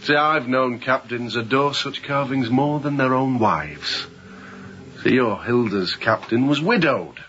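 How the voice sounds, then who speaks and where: An actor playing a youngish, sceptical ship's mate in a radio drama, with a smooth, sardonic, probing manner. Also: male voice, medium pitch, animated, unhurried, bright, forceful tone, muffled recording.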